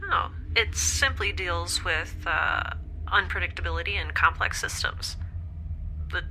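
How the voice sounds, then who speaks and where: A woman playing a chatty, playful science nerd in an audio drama, half-whispering in a breathy, theatrical manner. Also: fairly low pitch, measured pace, sharp tone, slight background noise, rough recording.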